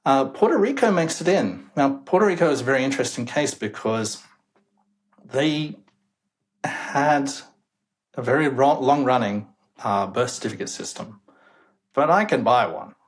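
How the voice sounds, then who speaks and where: A man's voice: mid-range voice, expressive, normal speed, long pauses. A young man, a demographer guest in an interview, talking emphatically and sounding incredulous about the data, chuckling now and then. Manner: emphatic, incredulous, chuckles while making points. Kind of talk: casual interview talk.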